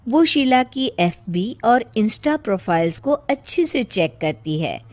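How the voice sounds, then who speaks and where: A woman narrates a cartoon story in a scripted storytelling style. She takes her time, with an unhurried, measured delivery. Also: mid-range voice.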